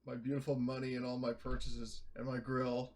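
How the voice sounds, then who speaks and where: A man's voice: mid-range voice, completely flat delivery, moderate pace. A man chatting casually as a player in a tabletop roleplaying game.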